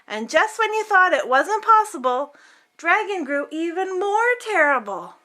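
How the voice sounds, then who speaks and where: A woman's voice: very high voice, sing-song, measured pace, sharp tone. A woman reading a children's picture book aloud as host, theatrically, drawing out words for emphasis.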